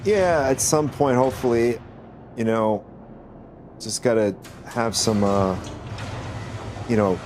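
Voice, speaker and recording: A man with a raspy voice from a cold, chatting casually with viewers while gaming on a stream, rambling with many restarts. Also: mid-range voice, slow, long pauses, noisy background.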